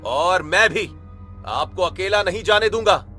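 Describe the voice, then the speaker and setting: A man voicing a loyal, anxious warrior chief in an animated story, dramatic and hesitant. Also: high voice, measured pace, soft background music, exaggerated voice. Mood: concerned.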